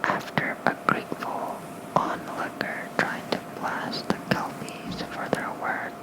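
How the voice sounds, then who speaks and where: An ASMR creator softly reading folklore aloud in a hushed, unhurried bedtime whisper. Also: rough recording.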